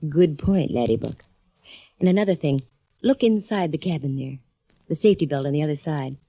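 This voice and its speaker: A woman's voice: deep voice, expressive, brisk, dark tone, no room sound, poor audio. A woman playing a sassy, wisecracking private eye who narrates a 1940s radio drama. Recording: old radio broadcast with music.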